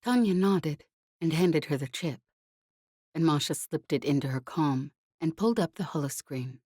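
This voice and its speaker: A woman narrates a novel in a low-pitched, mellow voice. The sound is very dry and close, with the measured delivery of scripted audiobook reading. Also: expressive, slightly rough, dry recording.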